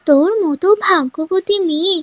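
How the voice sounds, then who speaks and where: A woman's voice: very high-pitched, normal speed, muffled recording, heavy background noise. A woman voicing a gossipy, meddling young village housewife in a cartoon, with a taunting, exaggerated delivery. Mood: chatty. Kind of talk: cartoon dialogue.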